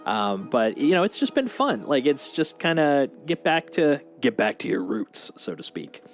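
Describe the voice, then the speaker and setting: A man's voice: medium pitch, very animated, normal speed. A man chatting casually and in a rambling way as he live streams a video game. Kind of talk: casual gaming stream chat.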